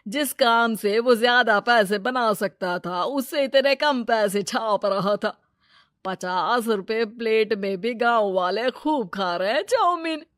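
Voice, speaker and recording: A woman's voice: fairly high pitch, lively intonation, slow, fairly bright tone, no room sound, studio quality. A woman voicing a greedy, scheming male moneylender in a village moral story cartoon, brusque and bossy.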